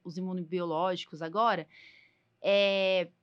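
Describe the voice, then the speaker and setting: A woman's voice: lowish voice, fairly even intonation, unhurried, smooth, dull audio. A woman with a Brazilian Portuguese accent hosting a podcast as a doctor, chatting informally and often ending on little tag questions. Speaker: podcast host, allergist doctor. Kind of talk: casual podcast interview.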